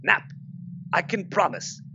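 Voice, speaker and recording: A man's voice: unhurried, sharp tone, lo-fi sound, slight background noise. A man playing a blunt, sardonic, wounded British soldier and protective father in an audio drama. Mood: urgent, accusing.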